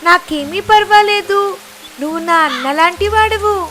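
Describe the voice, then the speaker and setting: A woman's voice: very high-pitched, unhurried, pushed and bright, a little hiss or hum, pitched above the performer's own voice, heavily acted. A woman voicing a small, kindly female parrot in a children's cartoon story, polite but firm. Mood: welcoming. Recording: music behind the voice.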